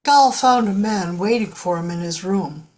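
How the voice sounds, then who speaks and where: A woman's voice: mid-range voice, moderate pace, darkish tone. A woman reading scripted narration for an audio drama.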